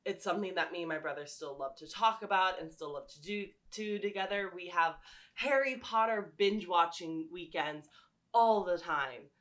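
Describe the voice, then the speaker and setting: Female vlogger, bright and crisp-edged tone, chatty and keen, wandering through personal stories in an informal way. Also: on the low side, normal speed. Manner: enthusiastic, rambling storytelling. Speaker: vlogger and fan fiction writer. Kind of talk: casual chatty talk to viewers.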